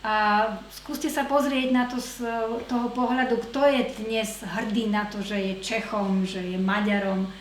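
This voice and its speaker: A woman interpreting a lecture consecutively, measured and hesitant with fillers as she translates. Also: medium pitch, steady tone. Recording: echoey hall with faint noise.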